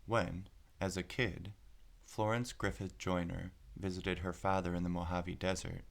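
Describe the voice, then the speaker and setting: Deep, gravelly man reading a storybook. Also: level tone, a little slow. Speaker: read-aloud narrator. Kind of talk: scripted storybook narration.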